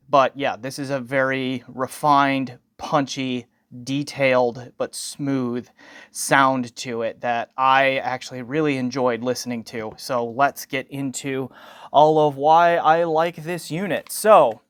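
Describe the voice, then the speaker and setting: Man, medium pitch, conversational gear reviewer, wordy, informal host style, dry close sound. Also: clear, resonant tone, no room sound. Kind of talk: informal product review to camera.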